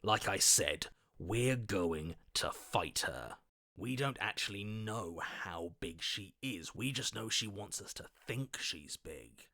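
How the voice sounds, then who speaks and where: A combative male inner voice from a video game, low and hard-edged. He is stubborn and scornful, giving orders with force, in a close, dry sound. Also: lively intonation, slow, pushed and bright, dry recording, exaggerated voice. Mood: grim determination. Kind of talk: dramatic video game voice acting.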